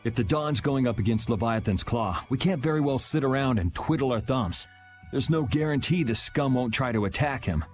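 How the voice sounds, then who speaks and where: A man's voice: mid-range voice, lively intonation, normal speed, lightly acted. Man as cool young game hero, mid-range voice, dry, offhand, self-assured, music behind. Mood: resolute. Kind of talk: video game voice acting. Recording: music under the voice.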